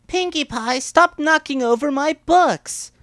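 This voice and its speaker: A man's voice: very high-pitched, measured pace, a bit bright, dry recording, clean studio sound, pitched above the performer's own voice. A man voicing an exasperated, bookish female cartoon pony, fussy and sceptical, in a story reading. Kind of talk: dramatic fan-fiction reading.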